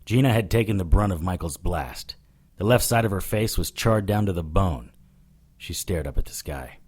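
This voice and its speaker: A man playing a hard-boiled private investigator in an audio drama, dry and deadpan. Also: deep voice, moderate pace, slightly rough. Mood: grim, narrating. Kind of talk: audio drama narration and dialogue.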